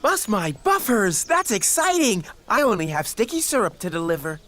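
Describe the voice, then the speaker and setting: An actor playing a friendly, easily impressed steam engine in a children's cartoon. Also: male voice, high-pitched, big pitch swings, moderate pace, a bit bright. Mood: friendly, amazed.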